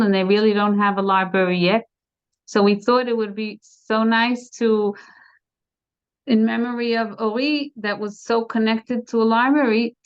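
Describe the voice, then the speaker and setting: American woman, gentle and halting. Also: mid-range voice, smooth. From United States. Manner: hesitant, many fillers and restarts. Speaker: interview guest, bereaved mother. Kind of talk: casual conversation. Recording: dull lo-fi sound.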